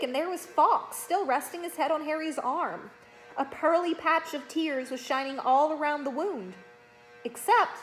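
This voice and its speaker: A woman reading a children's fantasy novel aloud as an expressive, dramatic narrator. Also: high voice, low-quality recording. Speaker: storybook reader.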